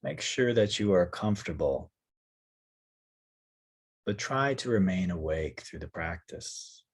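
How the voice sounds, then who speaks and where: A man leading a guided yoga nidra meditation as a teacher, speaking softly and calmly in short phrases with long pauses. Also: deep voice, vocal fry, slightly rough.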